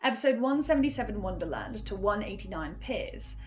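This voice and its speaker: A woman's voice: mid-range voice, expressive, fairly quick, music in the background. A woman giving casual commentary as host of a fan reaction series.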